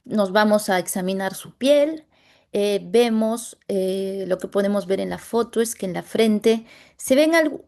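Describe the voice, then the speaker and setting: A Latin American woman, a dermatologist, presenting a medical case over a video call in a halting way, pausing often to search for words. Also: mid-range voice, animated, darkish tone. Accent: Latin American Spanish.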